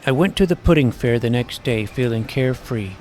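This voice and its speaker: A man playing an earnest, self-important junior high superhero who narrates his own adventure with mock-dramatic delivery. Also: expressive, a little fast, darkish tone, a little hiss or hum, natural voice, poor audio. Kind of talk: scripted first-person story narration.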